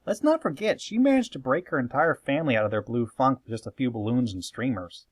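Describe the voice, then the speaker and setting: Man, lively swooping pitch, emphatic, conversational, playful sarcasm, scripted commentary, dry close recording. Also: fairly quick, no echo. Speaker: host of a fan opinion video.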